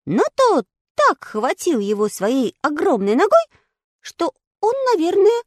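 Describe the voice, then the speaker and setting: Storybook critter: a woman voicing a chattering, mocking female squirrel, very high and lively, dry close sound. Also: unhurried, no room sound, exaggerated voice. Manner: squeaky, scolding, teasing.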